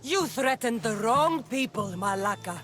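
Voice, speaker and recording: A woman's voice: fairly high pitch, lively intonation, measured pace. A woman playing a proud, hot-tempered warrior in a dramatic game cutscene, blunt and menacing. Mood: threatening, angry. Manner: blunt, menacing, foul-mouthed. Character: brash, hot-tempered female Greek warrior, proud mercenary. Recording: loud music under the voice.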